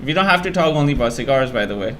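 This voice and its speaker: A man's voice: medium pitch, lively intonation, racing, a bit bright, a little hiss or hum. A man hosting a casual interview show, talking in a rambling, self-deprecating way.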